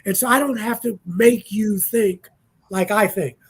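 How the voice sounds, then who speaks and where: A man's voice: high-pitched, unhurried, slightly rough. A man in his sixties, a panel guest speaking in a reflective, easygoing way on a casual radio talk show. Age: 60s.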